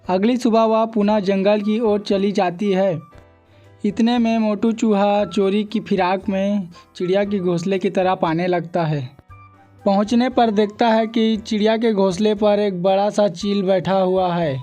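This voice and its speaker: A male narrator reads a scripted moral tale for children. His voice is high for a man and soft-edged, with very even intonation and music playing behind it. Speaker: story narrator.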